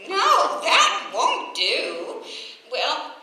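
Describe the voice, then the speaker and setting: A woman's voice: high voice, wildly swooping pitch, extremely slow, pushed and bright, exaggerated voice. An American woman playing a cheerfully scatterbrained, earnest wife who muddles her words in an old radio comedy. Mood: muddled. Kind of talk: 1940s radio comedy acting. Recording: very echoey old radio recording.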